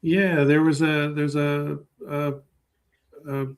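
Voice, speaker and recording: An American man from Oregon, a guest historian explaining things casually and haltingly, often repeating words as he starts. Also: on the high side, little pitch movement, clear, resonant tone.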